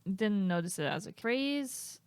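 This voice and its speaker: Smooth-voiced young woman, chatty and giggly. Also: medium pitch, measured pace, dry recording. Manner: reads items aloud then answers with hesitant, giggly asides; self-describes as flat and monotone. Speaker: streamer. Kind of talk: casual stream chat reading questionnaire items.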